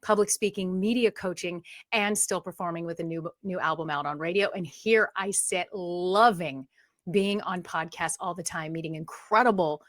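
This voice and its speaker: A woman's voice: lowish voice, moderate pace, fairly bright tone, dry recording. Woman, webinar guest, bubbly, enthusiastic, dry sound. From USA. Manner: bubbly, enthusiastic, laughs often. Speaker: guest author.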